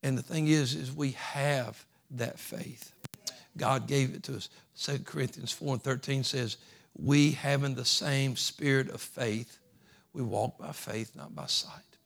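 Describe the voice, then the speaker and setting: A man preaching a sermon as pastor, folksy and repetitive, building from soft talk to loud fervent preaching. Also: medium pitch, a little slow, vocal fry. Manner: folksy, repeats phrases.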